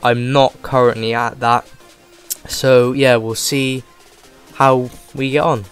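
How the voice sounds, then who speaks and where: Man, rough voice from a cold, chatty rambling gameplay talk, flat delivery, game music clearly behind. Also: mid-range voice, slow pace. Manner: rambling, chatty, many "like" fillers. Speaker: gaming channel host. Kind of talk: casual gameplay commentary.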